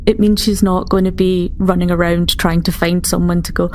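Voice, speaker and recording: A woman's voice: fairly low pitch, level tone, normal speed, darkish tone. Woman playing a tabletop game, faint noise. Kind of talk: casual in-game chat.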